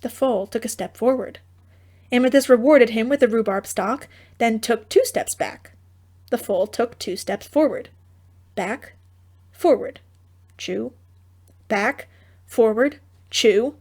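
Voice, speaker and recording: High-voiced woman narrating a comic fairy tale. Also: slow pace, long pauses, a little mellow. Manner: theatrical, droll storytelling with wry asides. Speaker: audiobook narrator.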